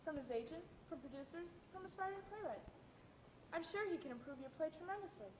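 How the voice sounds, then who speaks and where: Lady playing a protective outspoken wife, high pitched, emphatic, roomy stage recording w/ noise. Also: expressive, brisk. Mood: polite, pressing. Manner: emphatic, insistent. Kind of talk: amateur stage play acting.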